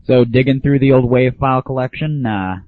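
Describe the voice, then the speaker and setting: A man's voice: medium pitch, a little fast, no echo. A man hosting an internet audio show, giving casual, opinionated solo commentary with irreverent, crude humor. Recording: thin phone-like early internet audio.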